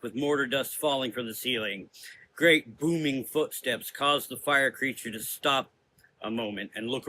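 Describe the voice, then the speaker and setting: A man's voice: mid-range voice, bright, forceful tone, slight background noise, rough recording. A man giving dramatic scripted narration of a gothic audio story.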